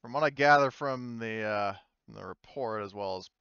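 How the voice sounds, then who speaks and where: A man playing a measured company inspector in a tabletop game, questioning formally and haltingly. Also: fairly low pitch, a bit bright, clean tone, dry recording, highs cut off. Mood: probing, formal.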